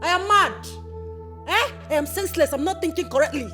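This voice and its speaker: A woman playing a sharp-tongued, defiant wife who scolds and mocks. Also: very high voice, animated, fairly quick, music in the background. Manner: mocking, scolding.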